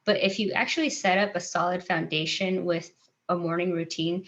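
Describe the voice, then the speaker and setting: A woman life coach speaks as a panel guest in a soft, reflective way. Her voice sits a little low, and she pauses often with "um" and "like." The audio is dull and lo-fi. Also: steady tone. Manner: soft-spoken and reflective, with many "um" and "like" fillers. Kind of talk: casual panel chat.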